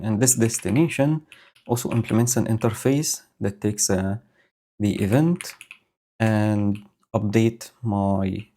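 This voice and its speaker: Slow, low-voiced man live-coding. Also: mellow. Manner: slow, thinking aloud with many fillers. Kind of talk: casual live-coding commentary. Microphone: new home audio setup with noise gate.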